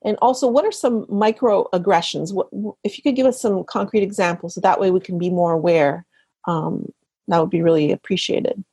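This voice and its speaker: Mellow, breathy woman asking politely. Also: fairly low pitch, moderate pace, no echo. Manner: polite, tentative.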